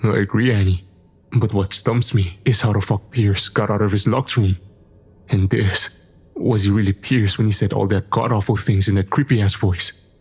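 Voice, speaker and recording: A man's voice: on the low side, fairly even intonation, normal speed, slightly rough. A man playing a blunt, wry crew member in an audio drama. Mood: baffled.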